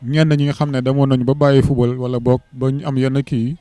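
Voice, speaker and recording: A man's voice: mid-range voice, flat delivery, brisk, mellow, clean tone, dry recording. A man from Senegal who works as a civil registrar, speaking earnestly in an interview and mixing in French words. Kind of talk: interview testimonial.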